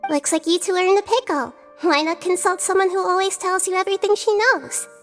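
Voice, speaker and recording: A woman voicing a small, gentle, wise childlike goddess in a video game, composed and articulate, with a soft girlish voice. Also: very high voice, steady tone, a little fast, faint music behind. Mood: helpful. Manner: composed, articulate, kindly explaining.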